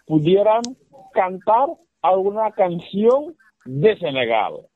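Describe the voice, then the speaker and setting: A man hosts a lively call-in chat, upbeat and pushing others to join in. His pitch swings widely and he speaks slowly. His voice comes through a thin, tinny phone line. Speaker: radio program host.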